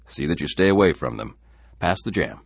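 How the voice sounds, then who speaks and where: Male reader doing anxious settler dad, stern and insistent, husky, dry sound. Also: very deep voice, animated, brisk, no room sound, full character voice. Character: anxious male settler father, forced cheer.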